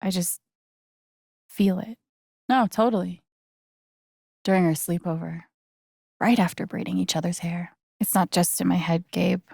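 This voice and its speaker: A woman's voice: fairly low pitch, fairly even intonation, unhurried, creaky, pauses often, a little mellow, husky, no echo, studio quality, exaggerated voice. An actress playing a guarded, wry young woman in a video game, speaking in a casual, hesitant, understated way. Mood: vulnerable, earnest. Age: young adult. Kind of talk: naturalistic video game voice acting.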